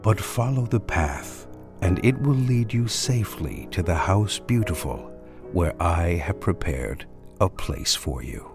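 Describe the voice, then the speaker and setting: A woman reading aloud gives the king a deep, grave, measured voice for his written message. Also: expressive, music in the background, full character voice. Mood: solemn. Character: solemn male king giving a command.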